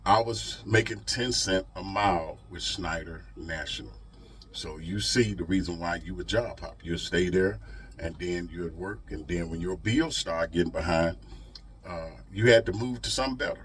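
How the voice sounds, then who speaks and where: A man's voice: low voice, normal speed, fairly bright tone, distant mic, a little hiss or hum. A middle-aged man talks casually to his vlog audience in a folksy, emphatic way, repeating phrases for effect. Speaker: truck driver vlogger.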